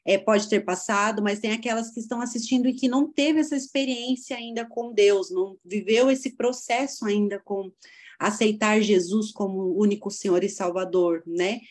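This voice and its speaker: A woman's voice: on the high side, darkish tone. A woman hosting a faith podcast, asking long questions and affirming her guest. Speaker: podcast host. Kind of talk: casual interview chat.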